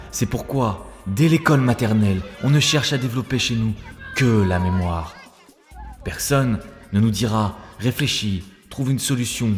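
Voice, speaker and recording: A man's voice: on the low side, a little mellow, lightly acted. Earnest young rebel orator played by a man, reverberant. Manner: rhetorical, preachy, emphatic. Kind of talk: scripted cartoon dialogue. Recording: long hall reverb, music bed.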